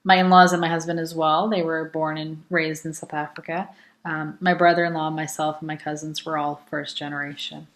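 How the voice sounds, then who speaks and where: Young woman from california, really low voice, just chatting plainly. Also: little pitch movement, a little fast. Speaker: guest contributor. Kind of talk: casual self-introduction.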